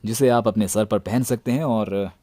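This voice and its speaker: An Indian man narrating a gadget review as its presenter, with a deadpan, tongue-in-cheek delivery. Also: fairly low pitch, moderate pace, no room sound.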